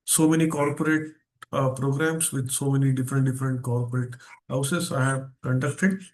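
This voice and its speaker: A man's voice: mid-range voice, fairly even intonation, normal speed. A man with an Indian accent speaking as a guest trainer in an online seminar, stressing key phrases and putting questions to his listeners. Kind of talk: interactive online seminar talk. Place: online video session.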